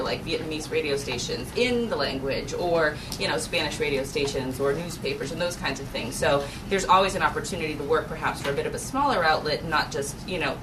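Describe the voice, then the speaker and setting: A woman moderating a panel in a conversational style. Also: deep voice. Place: event room at a live panel discussion. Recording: noisy room, distant microphone.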